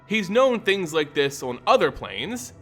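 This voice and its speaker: A man giving a dramatic, theatrical scripted narration of a fantasy story, with deliberate emphasis. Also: on the high side, sing-song, creaky, a bit bright. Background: music.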